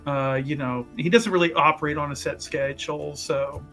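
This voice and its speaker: A man playing a tabletop roleplaying game, explaining his character's doings in a halting, rambling way full of fillers and restarts. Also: fairly high pitch, fairly even intonation, fairly bright tone, faint music behind. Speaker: player. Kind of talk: actual-play tabletop roleplay.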